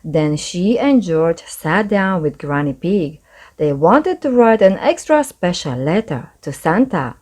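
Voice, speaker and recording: A woman's voice: lowish voice, sing-song, unhurried, darkish tone. A woman reading a children's story aloud as narrator, expressively and with careful pacing.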